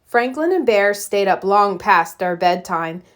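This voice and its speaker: A woman reading a children's story aloud in an expressive, scripted storytelling style. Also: mid-range voice, unhurried. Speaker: storybook reader.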